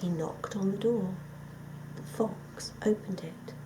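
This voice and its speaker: A woman reading a children's story aloud in an expressive narrating style. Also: mid-range voice, slow, in a whisper, rough recording. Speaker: story reader.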